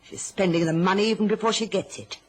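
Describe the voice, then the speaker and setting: A woman's voice: medium pitch, fast talker, close to an everyday voice. A middle-aged woman playing a covetous, domineering mother who slips between imperious orders and silky endearments in a radio drama. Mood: sharp, resentful. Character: domineering, scheming, covetous mother with a silky-sweet false warmth.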